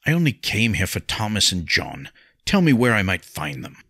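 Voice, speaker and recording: Casting: proud, indignant nobleman acted by a male narrator, formal storybook delivery, gravelly and expressive. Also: on the low side, moderate pace, no echo, voice pushed up, full character voice. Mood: indignant, forceful. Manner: formal, forceful. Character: haughty young nobleman, proper, indignant and uneasy.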